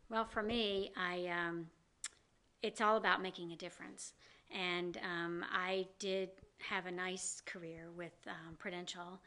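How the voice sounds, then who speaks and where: Bright-voiced woman with a Southern accent. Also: on the low side, steady tone, unhurried. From American South. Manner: many ums and you-knows, earnest. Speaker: executive director of a downtown organization. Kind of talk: interview guest.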